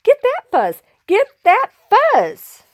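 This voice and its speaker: A woman voicing excitable, squabbling little prairie dogs in short shouted exclamations for a children's storybook. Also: sits high, drawn-out words, voice pushed up, exaggerated voice. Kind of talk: animated children's storybook character voice.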